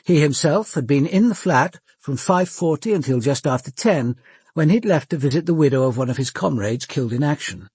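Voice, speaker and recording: A senior British detective in a radio drama, composed and precise. His voice sits a little high for a man, slightly rough-edged and closely, dryly recorded. He narrates and probes with formal, measured care. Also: expressive, darkish tone, no room sound, studio quality. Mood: measured, observant. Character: composed, probing male senior police detective narrating a murder inquiry.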